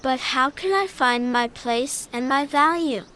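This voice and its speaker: A woman's voice: sits high, a little slow, sharp tone. A woman voicing a shy, insecure young male lion cub in a children's storybook, earnest and timid. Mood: sad, unsure.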